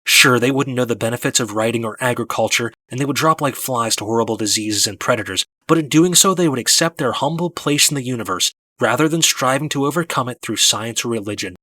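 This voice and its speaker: A man narrating a first-person story from a script in a measured, dramatic storytelling manner. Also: medium pitch, pushed and bright, dry recording. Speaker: audiobook narrator.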